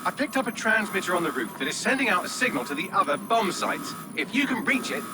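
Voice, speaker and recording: A sardonic British artificial intelligence in a video game. His highish voice moves up and down theatrically, breezy and witty. Loud music sits behind it. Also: very animated, fast. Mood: matter-of-fact. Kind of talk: video game cutscene acting.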